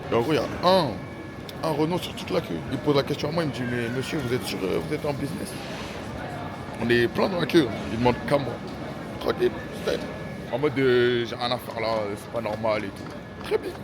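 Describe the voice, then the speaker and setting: A man's voice: medium pitch, brisk. A young man in his twenties with a French accent, vlogging casually in an airport lounge, slangy and chatty, talking straight to his viewers. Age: 20s. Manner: slangy, chatty, addresses viewers as "les gars". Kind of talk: casual vlog talk to camera. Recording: noisy airport ambience.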